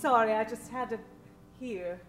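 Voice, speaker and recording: An actress playing an eager, flustered small-town waitress in an old-time radio comedy, speaking in a gushing, hesitant, earnest way. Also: female voice, a little high, normal speed, soft background music, close to an everyday voice. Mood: flustered admiration.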